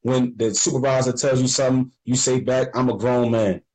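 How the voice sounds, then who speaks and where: A man conducting a conversational interview, asking measured, reflective questions and agreeing often. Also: mid-range voice, steady tone, darkish tone, rough recording.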